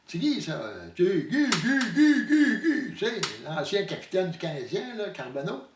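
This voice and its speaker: A man's voice: high-pitched, big pitch swings, moderate pace, background noise. A man with a Quebec French accent telling a comic hunting story in a folksy, hesitant way. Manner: folksy, hesitant, mixes up sayings. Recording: roomy echo, distant mic.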